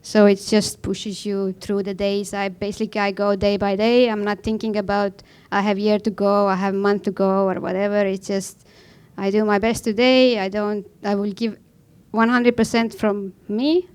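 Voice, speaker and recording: Clear-voiced woman with an Estonian accent. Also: medium pitch, level tone, normal speed, few pauses, darkish tone. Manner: blunt, informal, non-native phrasing. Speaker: panel guest, professional snowboarder. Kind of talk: conversational personal account on a panel.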